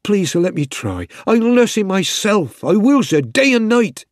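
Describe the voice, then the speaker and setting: A man's voice: high-pitched, very animated, measured pace, dry recording, studio quality, pitched above the performer's own voice. A man voicing a loyal young soldier in a story, emotional and pleading in manner. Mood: pleading, desperate.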